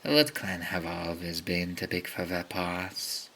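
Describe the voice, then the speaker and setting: A man's voice: low-pitched, animated, measured pace, gravelly, pushed and bright, full character voice. A man playing a sad, resentful elderly cat in an amateur audio drama. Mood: muttering resentment. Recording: faint background noise.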